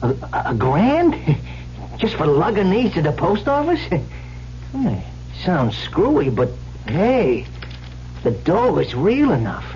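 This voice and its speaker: Jittery, greedy crook, swooping pitch. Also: male voice, sing-song, slow pace, pauses often, a little mellow, exaggerated voice. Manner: slangy, stammering tough-guy talk. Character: cynical, greedy small-time male crook, slangy and jittery. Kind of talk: 1970s radio drama acting. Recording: dull, hissy old radio recording.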